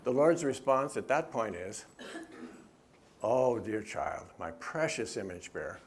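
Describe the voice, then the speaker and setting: A man's voice: medium pitch, lively intonation, unhurried. A middle-aged man, the author, gives an earnest talk at a church and answers questions, often stopping and restarting. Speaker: author and speaker.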